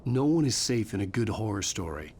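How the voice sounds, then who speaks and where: A brooding novelist telling his story in a video game. The voice sits somewhat low, with a wry, scripted first-person delivery over faint ambient noise. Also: big pitch swings, a little fast. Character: brooding male thriller writer narrating his ordeal.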